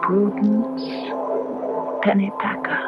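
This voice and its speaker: An actress playing a mysterious, lovelorn ghost woman in an old radio horror play, speaking slowly and cryptically with eerie patience. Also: medium pitch, flat delivery, lightly acted. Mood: haunting, wistful. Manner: slow, cryptic, drawn-out delivery. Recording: muffled vintage radio broadcast recording. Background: dramatic background music.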